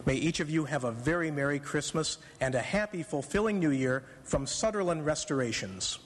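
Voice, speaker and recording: A man reads a scripted radio sponsor message. His voice sits a little high. It rings with the long reverb of an auditorium. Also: lively intonation, moderate pace. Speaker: sponsor spot announcer.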